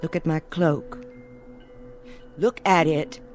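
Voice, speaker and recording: An actress in a radio drama playing a sharp, composed woman who questions in a measured, pointed way. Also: sits low, steady tone. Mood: cool, challenging. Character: sharp, composed woman coolly dismantling men's explanation. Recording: music bed under the dialogue.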